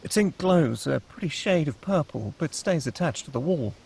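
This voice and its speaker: A man's voice: on the high side, animated, normal speed, gravelly, darkish tone, slightly rough. A man reading screenplay stage directions as narrator at a table read.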